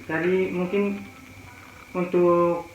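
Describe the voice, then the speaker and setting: Male guest, high voice, eastern Indonesian accent, casual answers, dull recording with faint noise. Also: level tone, extremely slow. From Malaka, East Nusa Tenggara, Indonesia. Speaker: interview guest. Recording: very echoey, muffled, faint noise.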